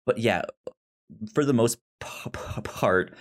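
A man co-hosting a film podcast in casual discussion, halting and stuttering, often repeating sounds and words. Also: medium pitch. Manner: halting, hesitant delivery.

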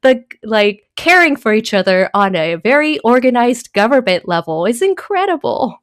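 A woman from Taiwan, a guest in a casual conversation, talking thoughtfully with many pauses, fillers and small laughs. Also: on the high side, sing-song, clean tone, no room sound, studio quality.

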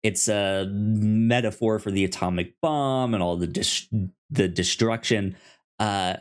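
Slow, halting man chatting about movies. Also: lowish voice. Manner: halting, repetitive, enthusiastic fan talk. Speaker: podcast co-host. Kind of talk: casual movie discussion.

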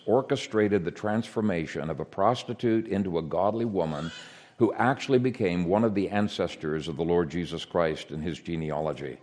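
A man who grew up in Ethiopia, giving an earnest, measured sermon with frequent hesitations. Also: low-pitched, a little mellow. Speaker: preacher.

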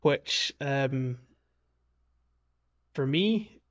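A man with a medium-pitched voice talks casually as a podcast host. He explains and chats in an informal gaming-podcast style. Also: expressive, drawn-out words, muffled recording.

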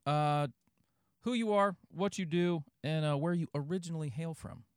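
A man's voice: on the high side, moderate pace, clear, resonant tone. A young man from North Carolina hosting a podcast in casual chat, loose and rambling, swearing and laughing easily. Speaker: podcast host.

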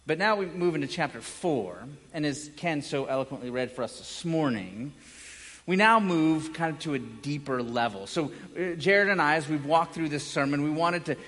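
A man's voice: a little high, moderate pace, a bit bright. A man preaching as a pastor in a conversational sermon style, earnestly explaining and rephrasing as he goes. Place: large church hall, preaching to congregation. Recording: long hall reverb.

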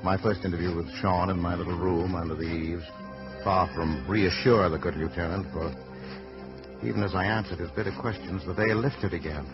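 Haunted clairvoyant man acting, very low voice, portentous and halting, vintage radio drama with dull sound and loud music. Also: steady tone, natural voice. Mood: somber narration. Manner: slow, halting, portentous delivery. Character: brooding haunted male mental wizard who foresees doom. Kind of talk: old-time radio drama acting and narration.

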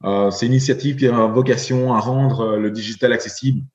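A man in his forties, raised in Belgium, speaks as a podcast guest at a middle pitch, halting, with constant fillers and restarts. His voice echoes around the room and the sound is somewhat dull. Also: steady tone, a little mellow. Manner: halting, many fillers and repeated words. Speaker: guest, investor. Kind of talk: podcast interview.

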